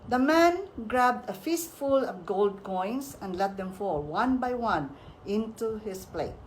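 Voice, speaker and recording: A woman's voice: mid-range voice, expressive, slow, slight background noise, rough recording. A woman from the Philippines hosting a folktale reading, storytelling with casual, conversational explanatory asides.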